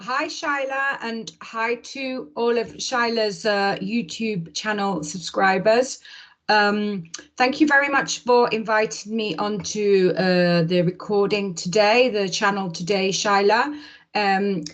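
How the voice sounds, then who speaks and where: A woman's voice: medium pitch, slow, a bit bright. English woman, Midlands-London accent. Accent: mix of Midlands and London English. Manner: chatty, uses fillers like "kind of" and "like". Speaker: guest English teacher and pronunciation coach. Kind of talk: casual video-call interview.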